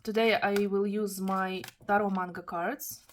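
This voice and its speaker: A woman from Kazakhstan, not an English speaker, giving a tarot reading in careful, halting English. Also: mid-range voice, little pitch movement, a little hiss or hum. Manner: careful, halting non-native English with restarts. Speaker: tarot reader.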